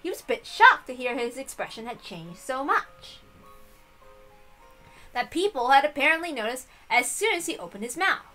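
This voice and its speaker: Woman, story narrator, expressive reading, high-pitched, bright tone, faint background music. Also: normal speed, poor audio. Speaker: reader.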